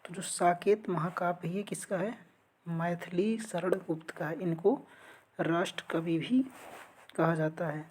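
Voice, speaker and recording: A woman's voice: on the low side, unhurried, darkish tone, slight background noise. A woman teaching an exam-prep lesson, reading out quiz questions and answering them in an instructional way. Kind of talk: lesson going through multiple-choice quiz questions.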